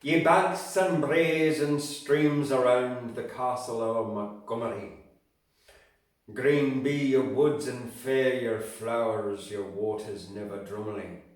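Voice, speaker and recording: A man doing a grieving Scottish lover reciting in a slow, declaiming lilt. He lingers heavily on every word. The voice rings out from a distance in a very echoey room. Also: medium pitch, lightly acted. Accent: Scottish, put on. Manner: slow, declaiming, raised and ringing. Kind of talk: reciting verse aloud. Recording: very echoey room, mic far off.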